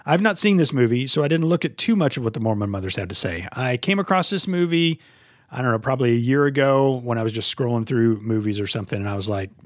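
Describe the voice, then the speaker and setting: A man's voice: medium pitch, lively intonation, fast talker, no echo, clean studio sound. A man in his fifties hosting a movie podcast, chatting casually and swearing freely. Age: 50s. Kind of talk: casual, profane podcast chat.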